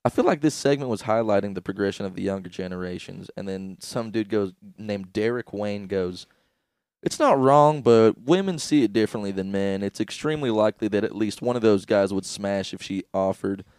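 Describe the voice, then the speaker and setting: A man's voice: on the low side, brisk, a little mellow, clear, resonant tone, dry recording. A young man co-hosting a comedy podcast, laughing often and doing game-show voice bits. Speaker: podcast co-host. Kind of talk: crude comedic podcast banter. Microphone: studio podcast microphone.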